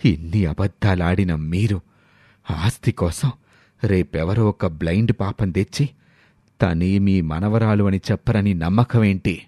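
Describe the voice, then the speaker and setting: A man voicing a stern, deep-voiced old grandfather. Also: unhurried, mellow, no room sound, studio quality. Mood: stern, angry. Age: older. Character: stern old grandfather, wealthy, wounded and distrustful.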